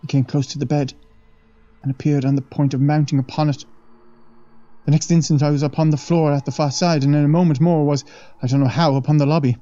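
A man's voice: fairly high pitch, fairly even intonation, fairly quick, dark tone, pitched above the performer's own voice, close to an everyday voice. A man as a shaken young man recounting a ghost. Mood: haunted. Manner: earnest, solemn, dramatic. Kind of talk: audiobook reading. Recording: dull lo-fi dry recording.

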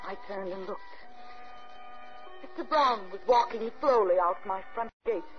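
An actress playing an anxious, cold-hearted widow in intense first-person 1950s radio thriller style, on a narrow, muffled recording with music. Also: female voice, medium pitch, natural voice. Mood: shaken. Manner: dramatic, intense, confessional first-person telling. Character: anxious, cold-hearted widow, outwardly proper, inwardly unravelling.

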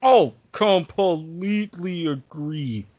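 A man's voice: high-pitched, slow pace, darkish tone, dry recording. A man chatting casually as a co-host on a podcast panel. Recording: dry, dull lo-fi recording.

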